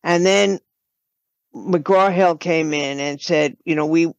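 Older woman, low voice, dull lo-fi sound. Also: slow, clean tone, dry recording. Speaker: podcast guest, writer and producer. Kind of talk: conversational storytelling.

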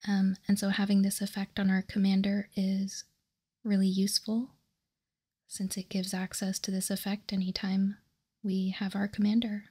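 A woman whispering in a hushed, calm and deliberate ASMR style as she gives card-by-card commentary, close to the microphone. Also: medium pitch. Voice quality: breathy, unvoiced whisper. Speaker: host of a card game ASMR channel.

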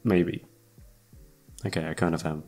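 A man's voice: a bass voice, steady tone, a little slow, warm tone. A young man chatting casually to his listeners in a hesitant, self-deprecating way, swearing freely and trailing off. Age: young adult. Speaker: YouTube voice actor and channel host. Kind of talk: casual rambling chat to listeners. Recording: music playing behind the voice. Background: background music.

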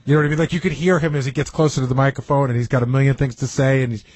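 A man's voice: medium pitch, very fast. A man who works on a radio show, telling anecdotes casually and acting out who said what. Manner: animated storyteller who acts out dialogue.

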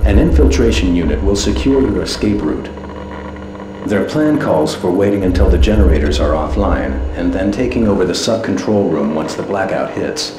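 An echoey, noisy recording carries a firm military commander. His voice is on the low side, formal and authoritative, briefing fighter pilots in a scripted game style. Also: expressive, normal speed. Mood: firm, grave. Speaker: mission briefing officer.

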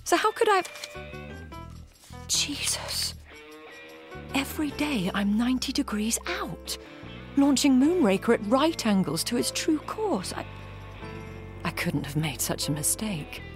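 Radio drama, young British undercover secretary: clever, polite, very animated intonation, music underneath. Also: female voice, a little high, a little slow, long pauses. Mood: alarmed, puzzled.

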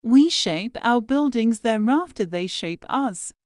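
Woman reading quotes real slow, pitch goes up and down a lot, dry close sound. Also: lively intonation, a little mellow, dry recording. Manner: measured, deliberate reading with pauses between phrases. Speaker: quote reader.

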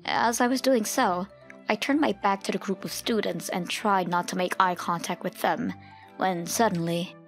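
A woman's voice: medium pitch, fairly even intonation, normal speed, soft background music. A woman giving an earnest, scripted first-person narration of a story.

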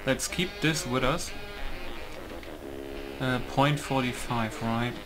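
A man's voice: medium pitch, takes it slowly, rough recording. A man giving casual gameplay commentary, musing aloud and often asking himself questions. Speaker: gaming video host. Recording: music playing behind the voice. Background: music.

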